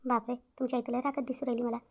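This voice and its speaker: A woman's voice: a little high, flat delivery, rapid-fire, darkish tone, slight background noise, natural voice. A woman voicing an anxious, polite young newlywed bride in a cartoon family drama, with deferential questions and a Vidarbha Marathi accent. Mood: worried. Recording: dull lo-fi audio.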